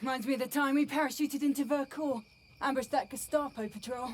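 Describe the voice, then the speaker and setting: A woman's voice: high voice, fairly even intonation, fairly quick, natural voice. A woman playing a cool, seasoned special forces agent in a war game. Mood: matter-of-fact.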